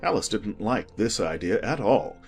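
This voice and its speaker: A children's audiobook narrator reads scripted prose in a medium-pitched voice. The delivery is very lively and varied, with faint music underneath. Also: normal speed, gravelly.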